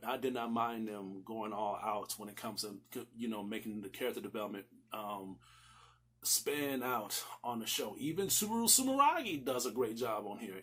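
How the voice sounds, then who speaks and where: A man's voice: medium pitch, a bit bright, slightly rough. A man reviewing a series in a casual, sweary, emphatic way, rambling and repeating himself for stress. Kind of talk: casual, profanity-laced review commentary.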